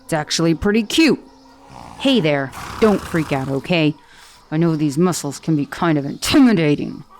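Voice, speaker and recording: A woman's voice: low voice, lively intonation, moderate pace, a little mellow, a little hiss or hum. A woman voicing a boastful, easygoing female titan warrior head who teases a stranger in an ASMR roleplay. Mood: teasing.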